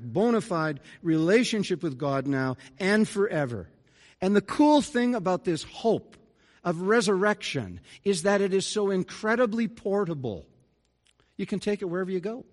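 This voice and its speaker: A man's voice: sits high, lively intonation, moderate pace. A middle-aged man, a pastor delivering an earnest, conversational sermon with folksy asides. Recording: reverberant church hall.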